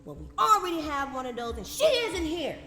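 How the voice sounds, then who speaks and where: A woman playing an excitable, whiny young boy in a radio drama, easily scared and bratty. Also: extremely high pitch, fairly quick, long reverb tail, crowd noise, heavily acted. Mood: indignant.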